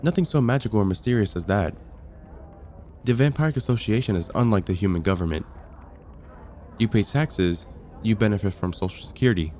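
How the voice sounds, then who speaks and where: A man playing a friendly, patient vampire caseworker in an ASMR roleplay, explaining things calmly with gentle dry wit. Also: on the low side, fairly quick, pauses often, a little hiss or hum, close to an everyday voice. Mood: matter-of-fact, wry. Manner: calm, explanatory, gently wry. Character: friendly, patient vampire caseworker onboarding a newcomer, dryly amused. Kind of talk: ASMR roleplay, conversational acting.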